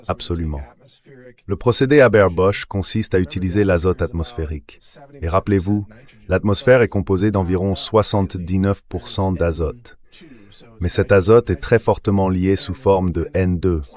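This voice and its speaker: Deep ai dub guy as the expert guest, explains everything step by step. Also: darkish tone. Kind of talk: dubbed interview explanation read by AI voice.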